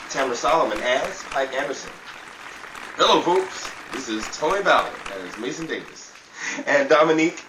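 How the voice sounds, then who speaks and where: A man reading scripted broadcast credits as an announcer. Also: mid-range voice. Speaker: cast announcer. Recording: roomy, distant mic with noise and crowd.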